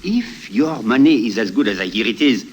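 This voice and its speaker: A man's voice: medium pitch, lively intonation, normal speed, slightly rough. A man playing a scheming old trader captain with a French accent in a 1950s radio drama, oily and chuckling. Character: sly old male ship captain, trader. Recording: faint hiss of an old broadcast.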